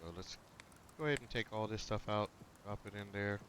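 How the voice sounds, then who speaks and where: Laid-back man, slightly nasal, lowish voice. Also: fairly quick, background noise. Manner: rambling, with many fillers and restarts. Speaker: gaming channel host. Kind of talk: casual gameplay commentary. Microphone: noise-cancelling headset.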